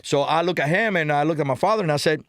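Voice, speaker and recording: A middle-aged Cuban man speaks with a strong Cuban Spanish accent, fairly high in pitch and full of emphasis. He tells his stories animatedly, repeating words and calling out "brother." The sound is dry and close to the mic. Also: quick pace, a bit bright, dry recording. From Cuba. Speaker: interview guest. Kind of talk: podcast interview storytelling.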